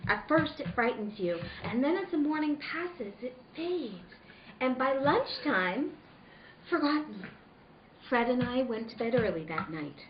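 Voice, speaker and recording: A woman's voice: fairly high pitch, expressive, unhurried, echoey room, muffled recording, background noise, pitched below the performer's own voice. A woman playing a frightened, wistful woman recounting a recurring dream in a hesitant, reflective radio drama monologue. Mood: wistful, hushed.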